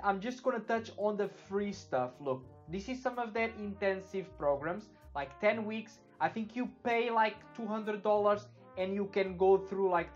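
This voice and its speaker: A young man from Portugal with a Portuguese accent, giving a casual screen-share web development tutorial, loose and full of fillers, often checking in with "okay?". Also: sits high. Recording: dull lo-fi with faint music behind.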